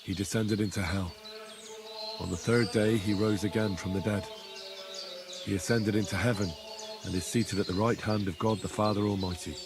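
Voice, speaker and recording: An actor playing a devout medieval man, slowly reciting a prayer in a measured, reverent way. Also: on the low side, lightly acted. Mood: solemn. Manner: measured, reverent, steady chanting. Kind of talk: slow solemn prayer recitation. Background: game music.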